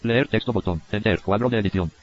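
Very fast low synthetic male screen reader. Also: very noisy. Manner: flat, mechanical, often cut off mid-word. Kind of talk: clipped text-to-speech readout of interface labels. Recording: dull, lo-fi computer audio capture.

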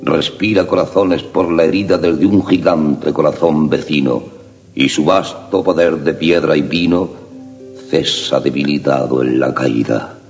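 Very deep, gravelly man reciting poetry. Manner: slow, solemn, measured delivery. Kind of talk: recited love sonnets. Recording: long reverb with harp music behind.

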